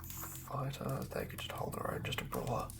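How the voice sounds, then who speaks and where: An ASMR host whispers up close, murmuring and thinking aloud in fragments while planning out a tabletop game. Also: moderate pace. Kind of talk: whispered ASMR, improvised villain-building ramble.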